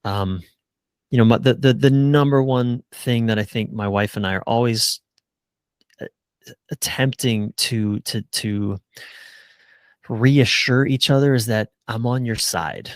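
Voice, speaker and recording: A man's voice: medium pitch, measured pace, pauses often, dry recording. A middle-aged man giving earnest, casual answers as a relationship coach in a conversational interview. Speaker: relationship coach, podcast guest.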